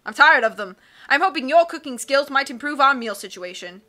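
A woman voicing a loud, hasty male submarine captain who cries out his lines and rambles, reading a storybook aloud. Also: high voice, expressive, a little fast. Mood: hearty bluster. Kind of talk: read-aloud storybook acting.

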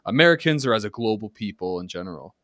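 A man in his thirties with a New Jersey accent, hosting a podcast interview in a friendly, chatty way at a studio boom mic. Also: animated, quick pace, a bit bright, dry recording. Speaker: podcast host. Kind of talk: casual interview. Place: dark studio room, indoors. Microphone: studio boom microphone.

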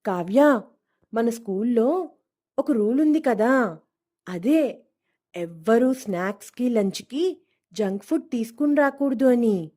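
A woman's voice: on the high side, dark tone. A woman storyteller voicing a gossipy, alarmed schoolgirl who tattles dramatically in a children's story.

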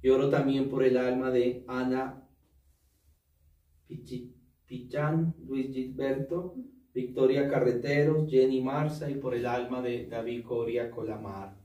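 A priest leads Mass in a small chapel, his mellow, dark voice reciting prayers in a measured way. He sounds distant from the mic, with the room's echo around him. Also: medium pitch, fairly even intonation. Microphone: far camera. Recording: echoey room, distant mic.

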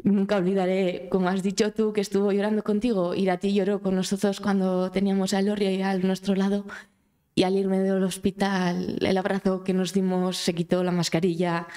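A woman in her thirties whose first language is Basque, speaking with a Basque accent into a handheld mic in a conference room, telling a personal story in halting speech with many pauses. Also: mid-range voice, level tone, mellow. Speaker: audience member sharing her story. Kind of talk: emotional personal testimony. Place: conference room of a cultural centre. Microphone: handheld microphone.

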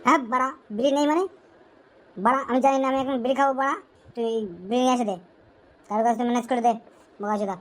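A woman's voice: fairly high pitch, steady tone, very fast, long pauses, lightly acted. A woman voicing a nagging, whiny, foul-mouthed friend craving a smoke in a comic cartoon. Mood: demanding, irritated. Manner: foul-mouthed, demanding, pleading.

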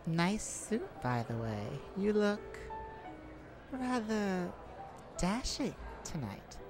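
A woman playing a charming, glamorous lady thief in a romantic ASMR roleplay, coy and teasing, speaking sweetly to the listener. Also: on the low side. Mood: flirtatious, teasing. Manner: coy, teasing, leisurely with breathy chuckles. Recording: music behind the voice.

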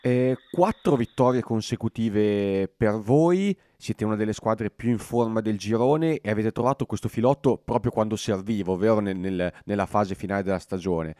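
A man's voice: lowish voice, moderate pace, hardly any pauses, mellow, no echo, clean studio sound. A man hosting a sports interview on the radio, introducing and questioning his guest.

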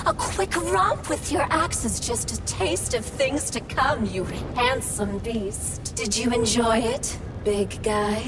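A woman voicing a lustful, flirtatious female AI vending machine in a video game, seductive and breathy. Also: on the high side, slow pace, few pauses. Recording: loud music behind.

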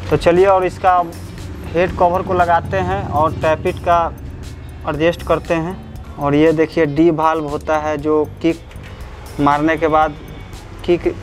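A man working as a motorcycle mechanic, who talks the viewer through an engine repair step by step in a workshop. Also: a little high. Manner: explanatory, guides the viewer step by step. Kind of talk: workshop repair tutorial, narrating over close-up work.

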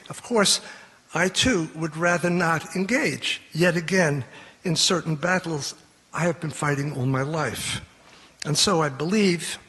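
Older man reading at a podium, husky. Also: on the high side, pushed and bright. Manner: measured, earnest, emphatic repetitions. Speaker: panel discussant. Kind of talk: reading a prepared statement at a podium. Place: large concert hall stage. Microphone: podium microphone. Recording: long hall reverb.

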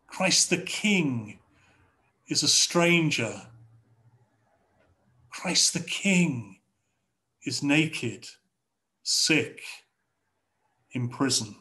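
A middle-aged man with an English accent preaching a sermon from a home study over a video call, in a measured, rhetorical way with repeated phrases. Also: a little high, expressive, sharp tone. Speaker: pastor. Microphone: video call webcam.

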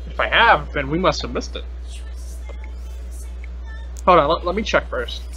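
Young man, slightly high bright voice, unhurried, playful teasing podcast chat, faint noise on an internet call. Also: expressive, husky, soft background music. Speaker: podcast host. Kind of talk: casual joking chat. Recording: noisy internet call recording.

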